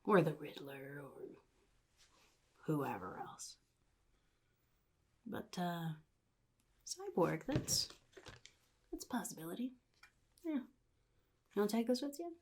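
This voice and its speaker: A woman playing a sly, chaotic supervillain in a soft-spoken ASMR roleplay, often dropping into a breathy whisper with a casual, menacing drawl. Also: on the low side, big pitch swings, slow, long pauses, heavily acted. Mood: sly, musing. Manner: casual drawl, offhand, slyly threatening.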